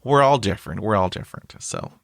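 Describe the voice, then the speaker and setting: A man's voice: on the low side, big pitch swings, quick pace, gravelly, no room sound, clean studio sound. A man hosting a podcast interview, stuttering heavily with blocks and repeated sounds, upbeat and chatty with lots of fillers. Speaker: podcast host. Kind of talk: conversational interview.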